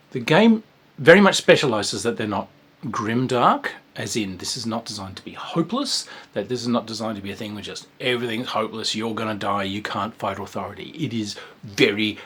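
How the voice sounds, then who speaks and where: A man with a low, husky voice talks through a tabletop game review in a loose, chatty way. He meanders, often pausing with ums and restarting his sentences. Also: fairly bright tone. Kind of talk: casual spoken review.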